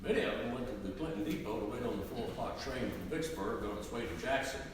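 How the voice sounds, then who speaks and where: A man's voice: fairly low pitch, lively intonation, quick pace. A man narrating scripted history for a dramatic reading. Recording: very echoey hall, distant mic, faint noise.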